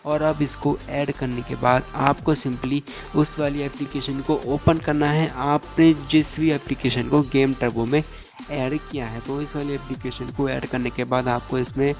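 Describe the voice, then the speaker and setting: A man's voice: mid-range voice, flat delivery, moderate pace, darkish tone, soft background music. A man giving a step-by-step phone tutorial as a YouTuber, repeating instructional phrases and mixing in English tech terms.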